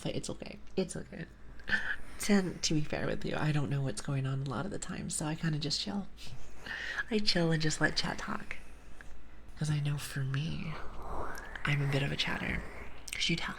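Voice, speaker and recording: Brief: woman ASMR streamer with an accent, low gravelly whisper, teasing, faint background hiss. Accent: non-native English. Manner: soft whispering, playful teasing. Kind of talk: ASMR live stream chat. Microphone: sensitive ASMR microphone with headphones.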